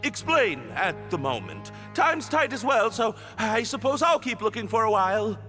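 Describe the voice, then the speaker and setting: A man's voice: sits high, sing-song, normal speed, pushed and bright, faint music behind, heavily acted. A man playing a shy, nervous, meekly polite prisoner in a video game, stammering and hesitant. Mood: flustered, evasive. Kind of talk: video game character voice acting.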